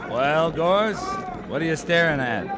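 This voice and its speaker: An actor playing a seasoned, gruff, dry cavalry captain in an old-time radio western. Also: male voice, a little high, animated, a little slow. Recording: noisy old radio drama recording.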